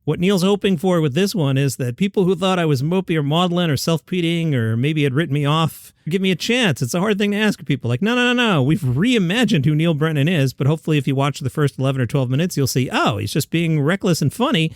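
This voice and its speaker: A man's voice: fairly high pitch, lively intonation, fast, hardly any pauses, no echo. A man hosting a comedy news podcast, reading headlines with dry offhand asides, his voice a little worn. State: slightly worn voice.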